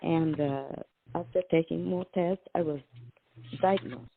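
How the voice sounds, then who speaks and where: A woman from Malaysia with a Malaysian accent, speaking as a co-host in a halting way, searching for words. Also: low voice, a little hiss or hum. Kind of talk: casual interview chat. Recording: phone-like video call audio.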